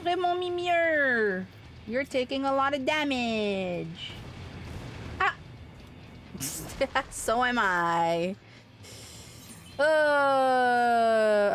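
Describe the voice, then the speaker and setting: A woman livestreamer chatting casually as she plays a video game, expressive and playful, given to exclamations. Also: sits high, extremely slow, pauses often, slight background noise. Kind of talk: casual live gameplay chat and commentary. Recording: game music behind the voice. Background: video game music.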